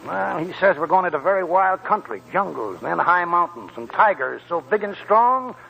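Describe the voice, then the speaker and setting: An actor playing a cheerful, wily old gold prospector with folksy, rambling talk, in 1940s radio style. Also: male voice, high-pitched, animated, moderate pace, sharp tone, exaggerated voice, rough recording. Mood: explaining. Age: older. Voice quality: creaky old-timer's voice.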